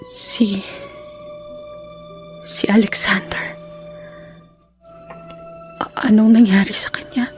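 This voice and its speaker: A woman playing a gentle, forgiving young wife in a Filipino radio drama, with a breathy voice. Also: medium pitch, fairly even intonation, slow pace, pauses often, whispered, faint music behind, close to an everyday voice. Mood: weak, worried.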